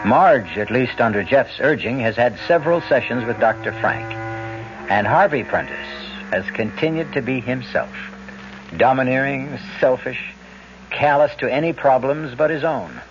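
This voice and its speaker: Male host of an old radio mystery, measured and ominous, dull broadcast with music. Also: medium pitch, expressive. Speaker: host narrator. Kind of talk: scripted narration.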